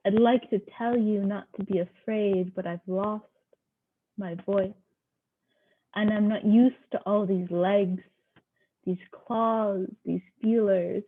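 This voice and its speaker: A woman with a middle-register voice reads at an online poetry gathering. Her delivery is a recitation, and the audio is thin and narrow, like a phone call. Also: medium pitch. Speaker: poetry reader at an online open mic.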